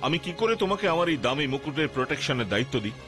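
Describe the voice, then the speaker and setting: A man playing a polite, worried gentleman in a cartoon, speaking hesitantly and anxiously. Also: fairly high pitch, animated, rapid-fire, music in the background, natural voice. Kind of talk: cartoon dubbing in Bengali.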